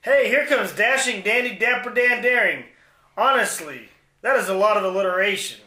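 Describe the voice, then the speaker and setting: A man's voice: high voice, lively intonation, normal speed, sharp tone, reverberant, a little hiss or hum, exaggerated voice. A man playing a dim, mild-mannered villain's henchman in a comedy western radio play, hesitant and naive.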